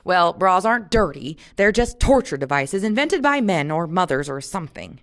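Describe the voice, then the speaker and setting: A woman's voice: lowish voice, sing-song, moderate pace. A woman voicing a chatty, flippant young girl in an audiobook-style reading, confident and bouncy. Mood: breezy. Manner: bouncy, emphatic, rattles from topic to topic.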